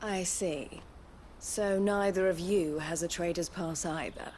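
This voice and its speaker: A woman as a slow, wry caravan boss. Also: fairly low pitch, fairly even intonation, darkish tone, a little hiss or hum, natural voice. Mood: measured, knowing. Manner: wry, businesslike, condescending. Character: brisk, shrewd, haughty female caravan owner. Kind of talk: video game acting.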